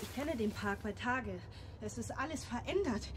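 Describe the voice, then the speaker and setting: A woman's voice: a little high, animated, moderate pace, noisy background, lightly acted. An actress playing a frantic, overwrought mother in a radio drama, with an intense delivery. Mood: panicked, searching. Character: frantic, overwrought mother calling for her child. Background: music.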